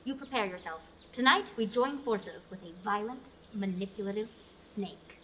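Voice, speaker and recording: A woman's voice: medium pitch, moderate pace, pushed and bright, slight background noise, lightly acted. A young woman in a student radio drama, playing an earnest heroine who has been given a quest. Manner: earnest, exasperated at times.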